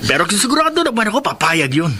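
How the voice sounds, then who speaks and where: An actor with a Filipino accent playing a boastful, greedy, sweet-talking son in a theatrical, chuckling radio drama style. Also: male voice, high voice, very animated, fast. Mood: smug, boastful. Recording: clear background noise and ambience.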